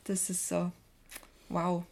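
A woman's voice: on the low side, completely flat delivery, takes it slowly, gravelly, a little mellow, slight background noise. Austrian woman in casual podcast chat. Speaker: podcast co-host.

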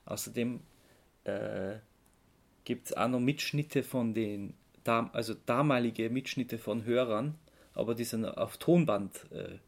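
A man with an Austrian accent co-hosting a podcast, explaining things casually in a somewhat halting way. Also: medium pitch, creaky. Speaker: podcast co-host.

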